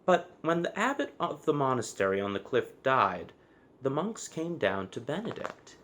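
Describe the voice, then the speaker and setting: A man's voice: mid-range voice, sing-song, moderate pace, fairly bright tone. Man reading a children's story aloud. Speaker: storybook reader.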